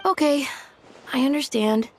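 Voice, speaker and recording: A woman's voice: sits high, a little slow, background noise. A woman voicing a timid, polite small child in a video game, with a hesitant manner. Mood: grateful, meek.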